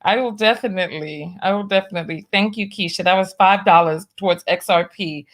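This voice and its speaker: A woman's voice: fairly low pitch, fairly quick. A woman from New York with a lightly masked New York accent, hosting a casual livestream, reading viewer donations and making wry asides as she does her makeup. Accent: New York, partly masked. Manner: chatty, wry asides, doing her makeup while talking. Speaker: livestream host.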